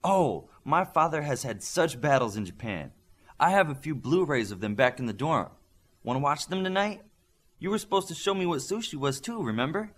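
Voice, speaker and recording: A man's voice: on the high side, lively intonation, normal speed, voice pushed up. A man voicing a dry, playful, sword-trained young superhero girl in an audiobook. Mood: teasing.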